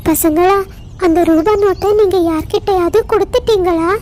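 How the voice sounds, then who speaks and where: High sweet-talking mama bird, done by a woman, caring, explains things to kids, cartoon. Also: normal speed, a little mellow, slight background noise. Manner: caring, instructive. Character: gentle mother kingfisher bird, kind and sensible. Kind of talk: children's cartoon story acting.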